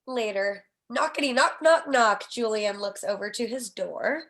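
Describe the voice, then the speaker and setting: A woman with a fairly high voice reads out screenplay directions at a table read. Her delivery is expressive and wry, setting each scene as a narrator. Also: a little slow. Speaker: stage directions reader.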